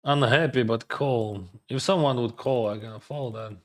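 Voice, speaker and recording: A man's voice: medium pitch, moderate pace. A man whose first language is Russian, thinking aloud in hesitant English as he plays and streams poker. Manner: hesitant, second-guessing, filler-heavy non-native English. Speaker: poker player streaming his games. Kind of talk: thinking aloud while playing online poker.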